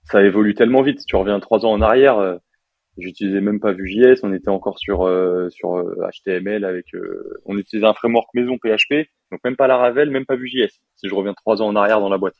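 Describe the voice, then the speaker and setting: A young man in his twenties from France, a software developer chatting casually in an interview with lots of fillers and slang. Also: on the low side, steady tone, brisk, dry recording, highs cut off. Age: 20s.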